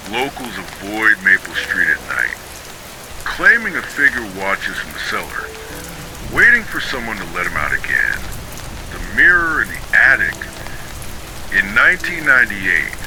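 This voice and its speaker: A man narrating scripted ghost stories for sleep in a measured, dramatic storytelling manner. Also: deep voice, low-quality recording.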